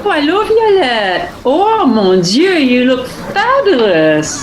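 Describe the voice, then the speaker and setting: A woman's voice: high voice, wildly swooping pitch, a little hiss or hum, heavily acted. A woman playing a flamboyant, effusive, glamorous friend in a radio play, drawing out her words. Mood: effusive, delighted. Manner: effusive, drawn-out.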